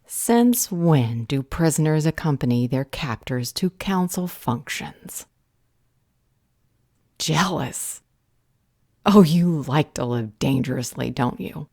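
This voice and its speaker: Woman acting as a low-voiced, defiant vampire healer. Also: lively intonation, darkish tone, dry recording, clean studio sound, full character voice. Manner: cutting, challenging, deliberate. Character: defiant, possessive female vampire healer, sharp-tongued. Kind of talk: dramatic audio roleplay acting.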